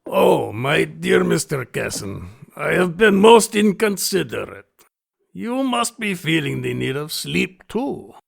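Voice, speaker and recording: A man playing a suave, menacing gentleman host in an audio drama, with a gravelly voice and formal, theatrical manner. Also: fairly high pitch, sing-song, slow, exaggerated voice. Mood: courtly, theatrical.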